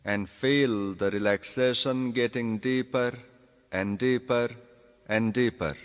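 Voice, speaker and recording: Male meditation guide, mid-pitched, deliberate short phrases at a very slow pace, spacious reverberant sound. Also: clear, resonant tone. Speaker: yoga nidra instructor. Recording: long reverberant hall echo.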